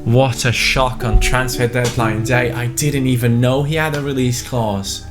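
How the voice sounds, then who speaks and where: A young man giving casual, excitable commentary on a football video game, swinging into panicked cries when things go wrong. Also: mid-range voice, measured pace, music in the background. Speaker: gaming YouTuber.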